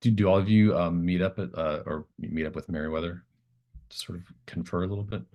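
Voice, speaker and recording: A very deep-voiced man acts as game master for a tabletop roleplaying session. He explains scenes in an improvised way, pausing to think aloud as he feels out the story. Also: steady tone, darkish tone.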